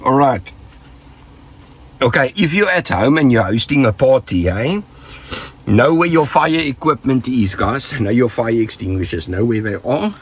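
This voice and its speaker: A man's voice: medium pitch, animated, measured pace, slightly rough. A South African man with the flu, giving casual, joking safety tips to his viewers and rambling off with trailing asides. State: sick with flu, rough voice. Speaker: vlogger.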